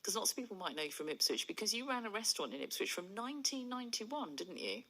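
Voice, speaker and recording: A woman's voice: medium pitch, big pitch swings, fast talker, sharp tone. A woman hosting a chatty radio interview in a studio, conversational and often adding little tag questions. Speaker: radio host.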